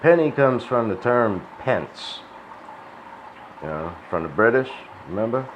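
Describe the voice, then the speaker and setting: A man's voice: medium pitch, expressive, measured pace. A man rambling in a casual, profane, folksy monologue, sounding tired and dry-mouthed. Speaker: crypto video host. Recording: dull lo-fi sound with faint hiss.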